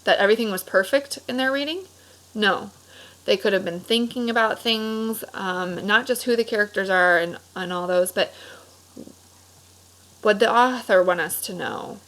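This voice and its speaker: A woman with a mid-pitched voice talks to young pupils as their teacher. She explains things in simple phrases, poses questions and often checks that they follow. Her manner is encouraging throughout. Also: moderate pace, a bit bright, rough recording. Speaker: elementary school teacher. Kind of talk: read-aloud discussion lesson for children.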